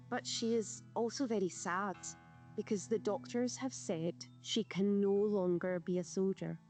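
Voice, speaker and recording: A woman with a Scottish accent reading a children's storybook aloud in a gentle, clear way. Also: mid-range voice. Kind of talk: scripted storybook narration. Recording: soft guitar music behind.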